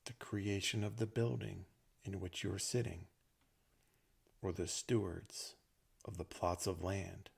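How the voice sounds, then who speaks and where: Very slow, deep, gravelly man guiding meditation. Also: steady tone. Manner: gentle, unhurried phrases with long pauses. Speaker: meditation teacher. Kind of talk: guided meditation.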